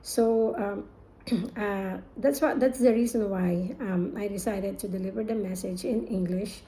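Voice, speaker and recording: An older woman from the Philippines with a Filipino accent, speaking haltingly with many fillers as she opens a sermon in English, her second language. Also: mid-range voice, fairly even intonation, dark tone, a little hiss or hum. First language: Filipino (Tagalog). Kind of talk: sermon introduction.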